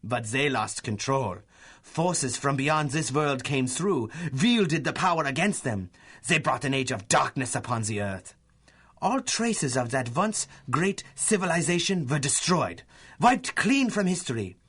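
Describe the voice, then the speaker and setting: Grandiose German villain professor, swooping voice. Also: male voice, medium pitch, very animated, fairly bright tone, husky, heavily acted. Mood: gloating, grandiose. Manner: theatrical, pompous, drawn-out emphasis. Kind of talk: 1930s-style radio adventure serial acting.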